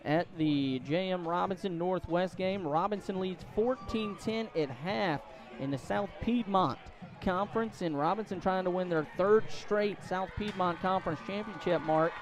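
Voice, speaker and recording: A man doing live play-by-play commentary of a high school football game. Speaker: play-by-play announcer.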